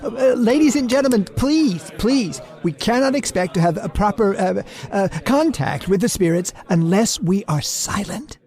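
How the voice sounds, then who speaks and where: A man's voice: high-pitched, lively intonation, moderate pace, darkish tone, some chatter behind. An actor playing a fussy, meek, henpecked husband in a radio play, stammering and prim in his deference. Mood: flustered, pleading. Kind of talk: old-time radio drama acting.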